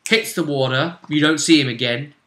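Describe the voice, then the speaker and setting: A man with a bright, forceful, slightly high voice recaps a tabletop role-play session as game master. He tells it dramatically, in a room with clear echo. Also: normal speed. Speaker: game master and show host.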